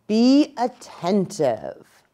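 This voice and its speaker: A woman's voice: medium pitch, wildly swooping pitch, drawn-out words, warm tone, full character voice. A woman voicing a young buffalo calf boy in a storybook, earnest and urgent as he instructs. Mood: earnest warning.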